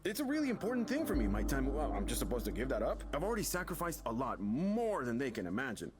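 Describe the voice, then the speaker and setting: An actor playing a stressed, defensive young father who vents in a halting, emphatic, conversational way. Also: medium pitch, wildly swooping pitch, music in the background. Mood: angry, defensive. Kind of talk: naturalistic game voice acting.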